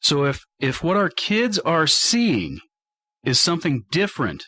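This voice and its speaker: Middle aged american guy doing a radio money show monologue, voice a bit high, deliberate and emphatic. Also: huge pitch swings, bright, forceful tone. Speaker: radio show host and financial advisor. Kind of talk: talk radio monologue, addressing listeners.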